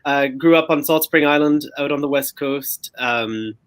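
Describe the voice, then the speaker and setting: Young canadian guy on a video call, bit high voice, dry sound, lots of uhs. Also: unhurried, a bit bright, clear, resonant tone, no room sound. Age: young adult. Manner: hesitant, many fillers. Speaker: panelist, university student.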